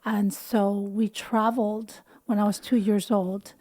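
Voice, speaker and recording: A woman in her fifties, born in the Philippines, talks about her practice as a wellness doctor. Her voice is warm and mellow at a middling pitch, and her sentences often trail off quietly. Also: fairly even intonation, unhurried. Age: 50s. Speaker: anti-aging and wellness doctor. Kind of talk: casual interview talk.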